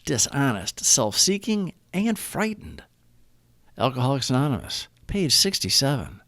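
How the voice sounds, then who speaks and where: A man reading a scripted daily reflection aloud as narrator, phrasing it deliberately in short, measured groups. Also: mid-range voice, no echo, studio quality.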